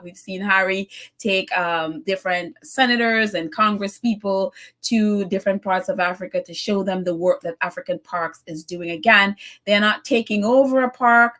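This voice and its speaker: A woman hosts a live stream, talking casually and warmly. She reads out viewer comments and recaps the news in a loose, effusive way, with plenty of fillers and laughs. Her voice sits at an ordinary middle pitch.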